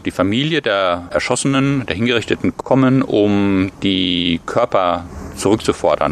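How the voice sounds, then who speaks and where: A man's voice: deep voice, vocal fry, a bit bright, a little hiss or hum. A man, a professor, explaining his research in an interview, lecturing steadily and thinking aloud with fillers and restarts, recorded outdoors.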